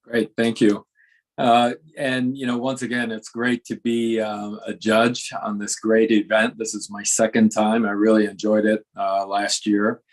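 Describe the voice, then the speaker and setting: An older man from Japan speaks as a judge in fluent, conversational, semi-formal English. His voice is fairly low and stays quite even in pitch. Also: measured pace, low-quality recording. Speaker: contest judge, bank executive. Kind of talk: semi-formal introductory remarks.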